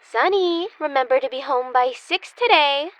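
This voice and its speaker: An actress playing a firm, no-nonsense wife in a domestic comedy radio play. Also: female voice, high-pitched, moderate pace, fairly bright tone, faint music behind, low-quality recording. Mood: stern. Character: firm, no-nonsense wife, stern with her husband.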